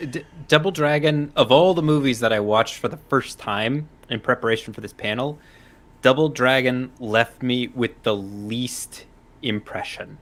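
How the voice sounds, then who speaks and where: A man's voice: medium pitch, unhurried, a bit bright. A man speaking casually as a panelist in a live discussion. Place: convention panel room.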